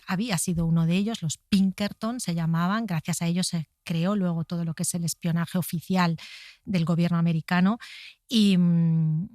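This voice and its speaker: Low-voiced woman, expressive storyteller. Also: no echo, clean studio sound. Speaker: interview guest, author of a bookcast. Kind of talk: conversational interview, enthusiastic storytelling about film history.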